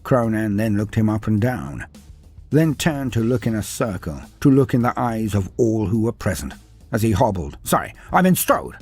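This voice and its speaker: A man narrating a scripted fantasy tale in an animated way, dropping in wry asides. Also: on the low side, moderate pace, breathy edge, soft background music. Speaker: storyteller.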